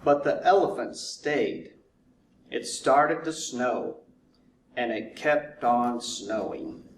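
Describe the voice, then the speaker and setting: Slow, deliberate man reading a storybook. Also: mid-range voice. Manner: deliberate, drawn-out repetition for effect. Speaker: fire chief reading to children. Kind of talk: children's storybook narration. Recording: roomy echo, distant mic, faint noise.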